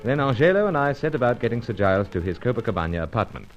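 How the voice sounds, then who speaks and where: An actor playing a dashing, unflappable secret agent in a radio serial, clipped, jaunty and reassuring. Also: male voice, medium pitch, very animated, rapid-fire, lo-fi sound, faint music behind, lightly acted. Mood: brisk narrating. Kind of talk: old-time radio serial acting and narration.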